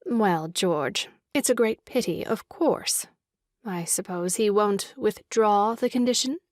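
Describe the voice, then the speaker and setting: An actress playing a brisk, earnest and slightly superior young woman in a theatrical audio farce. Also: mid-range voice, no echo, clean studio sound, close to an everyday voice. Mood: dry sympathy. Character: brisk, opinionated young Englishwoman, sister of new father.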